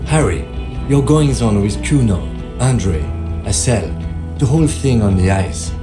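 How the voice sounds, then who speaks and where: An actor playing a smug, wordy police officer in a video game, amused and theatrical, with music behind him. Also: male voice, lowish voice, expressive, unhurried. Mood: amused, mocking.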